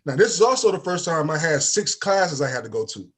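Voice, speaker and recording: A man's voice: fairly high pitch, fairly quick, slightly rough. A man in his forties from East Saint Louis, a life insurance agent and talk-show guest, telling stories with acted-out dialogue. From East Saint Louis, Illinois, USA. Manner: animated storyteller, acts out quoted dialogue, often adds "you know what I mean". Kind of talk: casual talk-show conversation.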